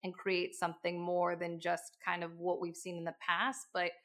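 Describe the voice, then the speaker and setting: A woman's voice: on the low side, completely flat delivery, moderate pace, a bit bright. A woman reflecting on her work, searching for words with frequent fillers. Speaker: podcast speaker. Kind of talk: reflective business talk.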